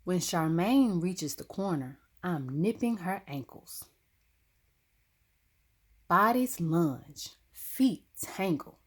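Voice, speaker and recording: A woman narrating a children's story expressively, with dramatic, deliberate phrasing. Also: on the low side, animated, husky. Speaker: storybook reader.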